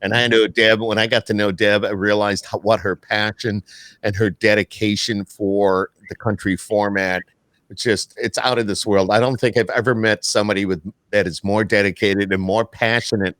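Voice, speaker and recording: A man's voice: fairly low pitch, little pitch movement, moderate pace, fairly bright tone, dry recording. A middle-aged American man hosting a podcast, chatting casually like a radio announcer off duty.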